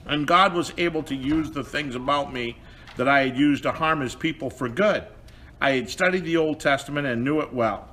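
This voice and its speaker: A man playing a repentant apostle in a children's puppet show, explaining things in an earnest, measured way. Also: mid-range voice, sharp tone, lightly acted.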